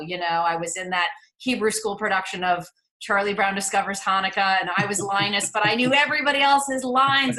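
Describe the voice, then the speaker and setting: A woman's voice: medium pitch, sharp tone, low-quality recording. A woman in her forties with a Midwestern American accent, a casting director guest telling stories in a chatty interview. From New York City. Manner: chatty storyteller, frequent laughs and restarts. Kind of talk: casual interview chat.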